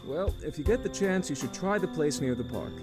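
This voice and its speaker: Man voicing a middle-aged restaurant owner, easygoing, encouraging, lively pitch, game music playing behind. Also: a little fast, lightly acted. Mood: friendly.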